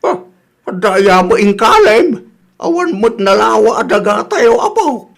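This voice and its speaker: A man's voice: high-pitched, very animated, a little slow, vocal fry, a bit bright, heavily acted. A man playing a boastful, lying young suitor in an Ilocano radio comedy drama, with an Ilocano accent and an exaggerated, stammering delivery. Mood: pleading, scheming.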